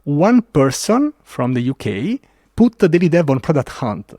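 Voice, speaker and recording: A man from Italy with an Italian accent, telling a story as a podcast guest in an animated way with many fillers. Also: a little high, measured pace, no room sound, studio quality. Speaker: guest developer advocate. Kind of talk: casual podcast interview.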